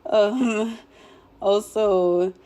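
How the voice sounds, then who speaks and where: A young woman, hoarse and a bit nasal while recovering from illness, casually recapping a show episode in a laid-back way, clearing her throat now and then. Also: mid-range voice, steady tone, drawn-out words, dark tone. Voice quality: slightly nasal. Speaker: show commentator.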